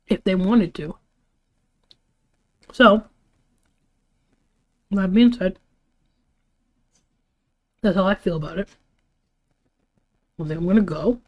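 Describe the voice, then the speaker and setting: A woman's voice: fairly low pitch, darkish tone. A tired woman talking casually on a livestream, giving her opinions in an informal, rambling way with some casual swearing. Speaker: livestream host.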